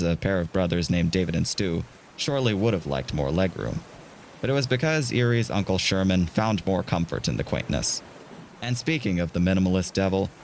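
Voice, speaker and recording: A man's voice: low voice, a little hiss or hum. A man reading scripted narration for a dramatic audio story. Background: music.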